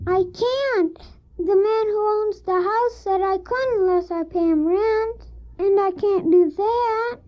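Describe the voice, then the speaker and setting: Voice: very high-pitched, takes it slowly. A performer playing a polite, earnest orphaned little boy in a scripted audio drama, speaking simply and plaintively. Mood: polite, downcast. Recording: dull old cassette drama, music behind.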